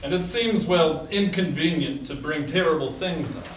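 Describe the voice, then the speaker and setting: Through heavy noise in an echoey room, an actress plays a curious, eager woman. Her voice is low as she trades lines in a theatrical reading. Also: moderate pace, lightly acted. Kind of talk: staged dramatic dialogue. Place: live staged reading indoors. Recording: distant, echoey, very noisy room.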